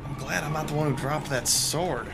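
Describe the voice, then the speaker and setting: A man's voice: a little high, moderate pace, vocal fry, background noise. A man acting in a radio drama as a loyal young student backstage, casual and conversational.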